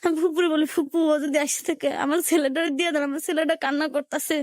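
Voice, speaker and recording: A woman's voice: sits high, fairly even intonation, fast, no room sound, clean studio sound. A woman with a colloquial Dhaka-area Bangladeshi accent tells her own life story emotionally, acting out conversations as she goes. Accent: Bangladeshi, colloquial Dhaka-area Bengali. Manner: acts out quoted conversations in dialect.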